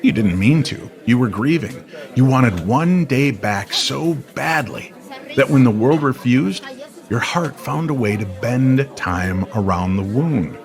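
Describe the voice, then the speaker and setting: A man playing a weary, enigmatic stranger in a radio drama, speaking in a calm, measured way. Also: mid-range voice. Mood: gently solemn. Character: weary, cryptic male stranger who knows hidden truths. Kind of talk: old-time radio drama acting. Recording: crowd chatter behind the voice.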